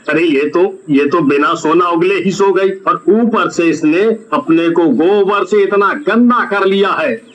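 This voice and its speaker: A man's voice: high voice, fairly bright tone, highs cut off. A man voicing a greedy, gullible rich merchant who exclaims and talks to himself in a moral comedy story.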